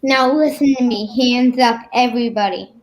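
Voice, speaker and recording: A woman playing a suspicious masked stranger in an old-time radio comedy, insistent and gruff. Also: a little high, moderate pace, natural voice, rough recording. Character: suspicious costumed man in a mask. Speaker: radio play performer.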